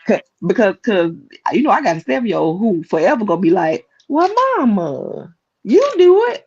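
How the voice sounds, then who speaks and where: Animated woman in her forties. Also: mid-range voice, normal speed, slightly rough, lo-fi sound. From Carolina, US. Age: 40s. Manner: animated, emphatic. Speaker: podcast co-host. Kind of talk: casual chat.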